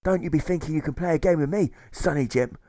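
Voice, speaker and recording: A man voicing a gruff, volatile old sailor turned jailer in an audiobook, with a stage pirate accent and blustering, exclamatory delivery. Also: on the high side, fast, dark tone, dry recording, clean studio sound, pitched above the performer's own voice, close to an everyday voice. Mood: menacing.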